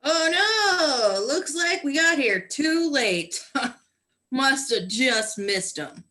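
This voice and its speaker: A woman's voice: high-pitched, big pitch swings, extremely slow, sharp tone, full character voice. A woman playing a crooked male police chief who feigns concern in a comedic radio play. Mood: falsely innocent.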